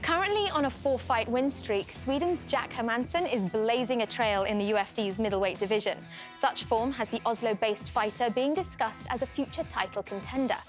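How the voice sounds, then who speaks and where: A woman's voice: fairly high pitch, normal speed, hardly any pauses, faint music behind. A woman reading a scripted introduction as the narrator of a sports show.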